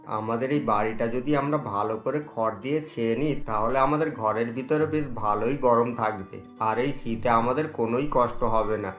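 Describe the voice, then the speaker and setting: A man's voice: medium pitch, moderate pace, a bit bright, soft background music, natural voice. A man voicing a poor middle-aged village husband and father, speaking in an earnest, worried way, recorded in an echoey room.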